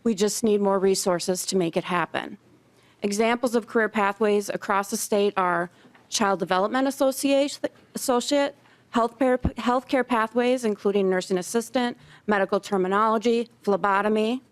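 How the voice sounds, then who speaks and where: A woman's voice: mid-range voice, moderate pace. A middle-aged woman, a community education director, reading a prepared statement in support of a bill at a legislative hearing. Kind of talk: prepared public testimony. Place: legislative committee hearing room.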